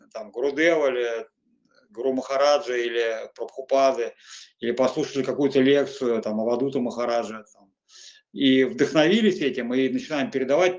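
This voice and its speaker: Man, middle-aged monk, informal spiritual talk, halting speech, many fillers and restarts. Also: medium pitch, darkish tone. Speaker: monk giving spiritual guidance.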